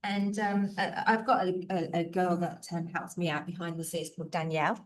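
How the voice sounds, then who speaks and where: A woman's voice: fairly low pitch, flat delivery, moderate pace. A woman hosting a podcast, asking questions in a chatty way and laughing easily. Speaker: podcast host. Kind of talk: casual interview chat.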